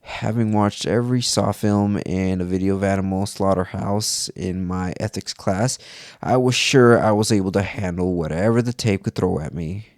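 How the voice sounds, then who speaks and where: A man's voice: low voice, moderate pace, darkish tone, no echo, studio quality. A man narrating a scripted first-person horror story as if it were his own account.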